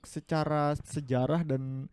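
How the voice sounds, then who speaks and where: A fairly high-voiced man telling a long story in a relaxed podcast chat. He acts out the quoted lines as he goes. The sound is dry and close, with no room echo. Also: slow pace, smooth. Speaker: podcast co-host. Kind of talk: casual chat.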